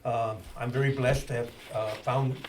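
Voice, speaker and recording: An older retired man, a guest in a casual interview, speaking haltingly with many uhs. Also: medium pitch, level tone, darkish tone, recorded from a distance, a little hiss or hum. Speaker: guest, club member.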